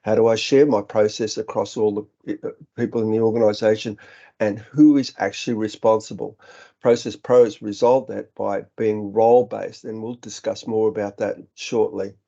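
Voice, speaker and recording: A middle-aged man with an Australian accent talks through a business webinar in an earnest, explanatory way, often pausing on ums and restarting words. His voice is mellow and dark, and the sound is muffled and lo-fi, as if from a computer mic on a video call. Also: fairly low pitch, flat delivery, vocal fry. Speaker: business director presenting a webinar. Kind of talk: webinar presentation. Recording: dull, slightly lo-fi webinar audio.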